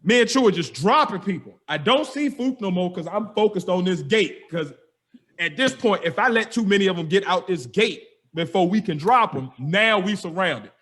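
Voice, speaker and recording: A young man, a podcast host telling a story with lively energy, slangy and acting out the dialogue. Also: high voice, normal speed. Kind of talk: animated storytelling.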